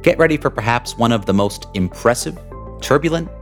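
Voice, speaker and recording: A man's voice: medium pitch, animated, fairly quick, soft background music. A man hosting an interview podcast, enthusiastic and building drama as he introduces his guest. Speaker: podcast host.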